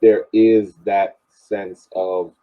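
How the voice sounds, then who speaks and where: A man on a podcast panel casually analysing sport, measured and searching for words, on a dull lo-fi connection. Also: lowish voice, little pitch movement.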